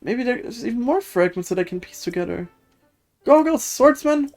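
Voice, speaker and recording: A woman voicing an excitable, curious researcher, reading video game dialogue aloud with eager exclamations. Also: fairly high pitch, moderate pace, soft background music, pitched above the performer's own voice, natural voice. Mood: excited, grateful.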